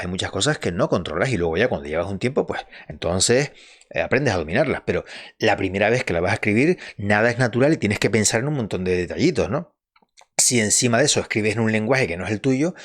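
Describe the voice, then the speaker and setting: Low-voiced man giving advice. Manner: conversational, rambling with restarts and fillers; emphatic and earnest when stressing a point. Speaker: novelist giving writing advice. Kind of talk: casual advice vlog.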